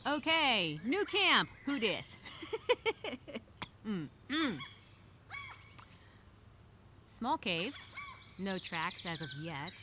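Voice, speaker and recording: A woman's voice: mid-range voice, sing-song, background noise. A woman voicing an anxious, wry castaway speaking her survival journal in a theatrical, conversational audio story. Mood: upbeat, brisk. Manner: conversational, theatrical, halting, with sighs. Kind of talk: solo dramatic monologue, audio journal storytelling.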